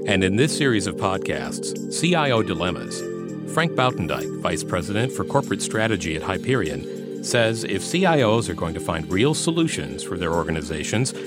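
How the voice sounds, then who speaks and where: A man hosting a podcast, reading a smooth scripted introduction and asking interview questions. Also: sits low, sing-song, normal speed. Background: music.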